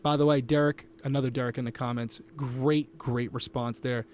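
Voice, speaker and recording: A man's voice: medium pitch, fairly even intonation, normal speed, darkish tone, a little hiss or hum. A man co-hosting a casual live watch-along, upbeat and talking straight to the chat, close on his microphone. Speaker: podcast co-host. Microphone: podcast microphone.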